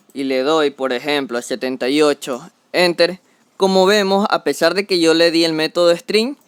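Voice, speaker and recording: A young man walks through a programming lesson one step at a time, narrating each action as he does it. His voice is a little high, smooth and clear, recorded dry and close on a desk microphone. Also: no room sound. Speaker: programming tutor. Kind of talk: screen-recorded coding tutorial.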